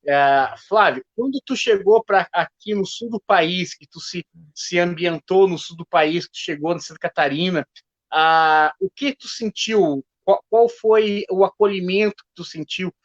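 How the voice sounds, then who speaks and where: A man hosting a live online discussion, talking in a halting way with many restarts. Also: sits high, no echo. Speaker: host. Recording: dull lo-fi stream audio.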